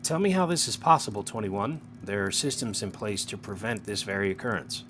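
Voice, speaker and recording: A man playing a stern, suspicious superior in an audio drama, questioning a subordinate in a clipped, pointed, quietly menacing way. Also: lowish voice, animated, fairly quick. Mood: interrogating, stern. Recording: noticeable background hum and noise.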